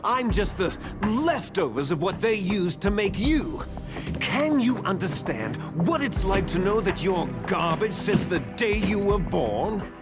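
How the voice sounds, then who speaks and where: An actor playing a bitter, taunting villain, the hero's twin soldier brother, in a theatrical, smug video game cutscene style. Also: male voice, high-pitched, very animated. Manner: theatrical, smug, drawn-out menacing delivery. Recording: loud game music behind the voice.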